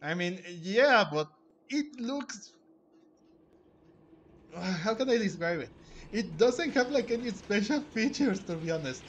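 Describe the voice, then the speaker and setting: A man doing casual gameplay commentary and reading dialogue aloud, with a hesitant, halting delivery. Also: sits high, lively intonation, muffled recording, a little hiss or hum. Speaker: gamer playing through a story game and reading out dialogue. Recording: music playing behind the voice. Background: game music.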